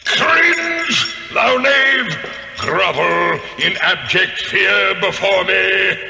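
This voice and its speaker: A man's voice: sits high, animated, very slow, gravelly, pushed and bright, exaggerated voice. An actor playing the menacing, centuries-old ghost of a nobleman in a radio drama, declaiming in grandiose archaic speech. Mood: outraged wailing. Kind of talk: 1970s radio horror-comedy acting. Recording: echoing reverberant old radio drama, music behind.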